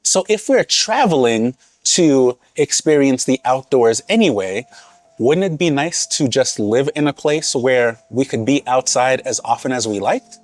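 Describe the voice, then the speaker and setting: A man in his thirties, born in Haiti and raised in Massachusetts, talking to camera in an earnest, conversational, unhurried way. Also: medium pitch, animated, no room sound. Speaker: vlogger. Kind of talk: casual reflective talk to camera.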